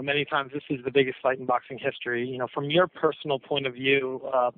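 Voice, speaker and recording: A male reporter asking a measured question on a press call. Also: medium pitch, no echo. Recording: dry, tinny phone line.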